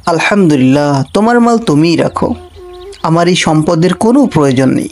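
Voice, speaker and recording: An earnest royal guard posing as a beggar. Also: male voice, on the high side, animated, fairly quick, a little mellow. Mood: solemn, approving. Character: royal guard disguised as a poor traveller, solemn pleader. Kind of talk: Bengali cartoon character acting.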